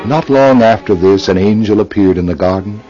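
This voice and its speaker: A man's voice: fairly low pitch, fairly quick, close to an everyday voice. A man narrating a scripted religious audio drama as a storyteller between scenes. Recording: dull lo-fi recording. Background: loud music.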